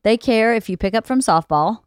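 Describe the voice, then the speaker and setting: A woman's voice: a little high, lively intonation, moderate pace, clean tone, no room sound, studio quality. A woman in her late forties speaking in casual, intimate conversation as a podcast co-host, candid, reassuring and reflective. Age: late 40s.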